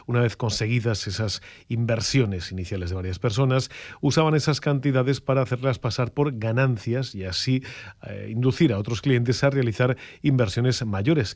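A man reading the regional news as anchor, steady with the odd hesitation. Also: fairly low pitch, no room sound. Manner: steady delivery with occasional hesitations.

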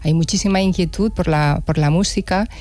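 A woman's voice: lowish voice, steady tone, fairly quick, darkish tone. A woman with an accent from Spain, a musician giving reflective, conversational interview answers and rephrasing as she goes. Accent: Spanish from Spain.

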